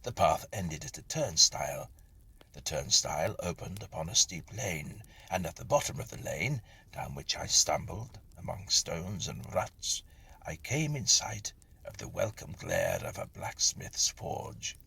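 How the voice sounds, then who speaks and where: A man reading a Victorian ghost story as scripted narration, in a husky, breathy, hushed and dramatic storytelling manner. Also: low-pitched, very animated, a little slow.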